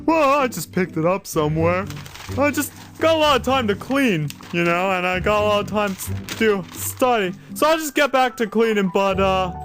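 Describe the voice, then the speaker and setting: Casting: eager, dim-witted strongman cleaner, acted by a man, high animated voice, folksy and excitable, comic adventure drama. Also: moderate pace, faint music behind. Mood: curious.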